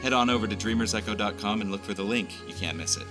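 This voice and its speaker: Male podcast host: low voice, scripted promo, florid theatrical delivery, prominent guitar bed. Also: fast talker. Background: guitar music.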